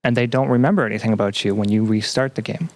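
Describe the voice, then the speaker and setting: A man answering as a podcast guest in a conversational, explanatory way, often pausing to search for words. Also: on the low side, fairly even intonation, darkish tone, dry recording. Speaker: podcast guest, student game developer.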